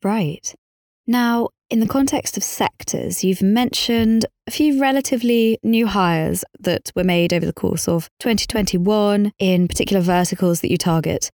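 A woman's voice: medium pitch, normal speed, darkish tone, no room sound, studio quality. A woman hosting a business podcast, speaking in a polite, articulate and formal interviewing manner.

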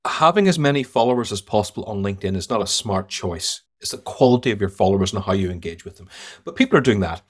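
A man's voice: fairly low pitch, expressive, fast, breathy edge. A man with an Irish accent, a guest on a podcast interview, telling stories emphatically and giving blunt verdicts. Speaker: guest sales trainer.

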